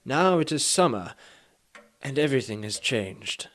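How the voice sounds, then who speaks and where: An actor playing a haughty, bewildered Renaissance knight in a comic radio play, declaiming in archaic, self-important speech. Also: male voice, medium pitch, animated, a little slow, fairly bright tone. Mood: puzzled, earnest. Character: pompous Renaissance knight, bewildered time traveller, haughty and literal-minded.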